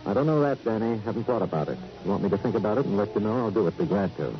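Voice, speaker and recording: An actor playing a suave, self-possessed former racketeer, coolly genteel and clipped, in a radio crime drama. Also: male voice, fairly low pitch, lively intonation, very fast, lightly acted. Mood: unruffled. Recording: old radio drama recording, dull, music behind.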